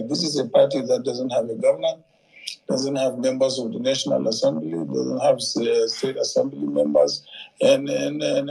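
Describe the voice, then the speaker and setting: A Nigerian man offers unscripted political commentary in a gravelly voice with a husky rasp. He talks haltingly and deliberately, circling back over his words. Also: medium pitch, darkish tone, low-quality recording. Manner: halting, measured, repeats words.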